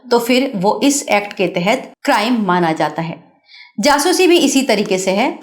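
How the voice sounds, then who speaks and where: Indian woman presenting a scripted explainer. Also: medium pitch.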